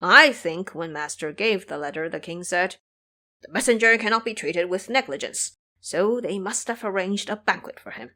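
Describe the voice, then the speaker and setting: A woman's voice: on the low side, animated, moderate pace, bright, forceful tone. Woman voicing a cocky, foolish pig monster. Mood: smug, excited. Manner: cocky, chatty. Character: boastful, greedy, foolish pig-monster disciple.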